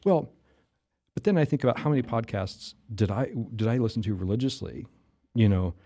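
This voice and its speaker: Low-voiced man talking fast and mellow. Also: animated. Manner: talkative, often says "you know what I mean". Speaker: podcast host, guest. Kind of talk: casual interview chat.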